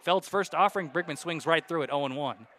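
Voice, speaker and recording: A young man calling baseball play-by-play on radio, walking through counts and base runners in a steady flow. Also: a little high, fairly quick, slight background noise. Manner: steady count-and-situation narration, rising loud on hits. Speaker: play-by-play announcer.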